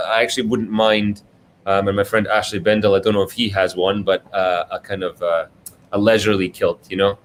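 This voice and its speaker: Man, from Scotland, low pitch, guest author, conversational answers, thoughtful and hesitant, background noise. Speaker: children's book author, guest. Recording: clear background noise, online live stream.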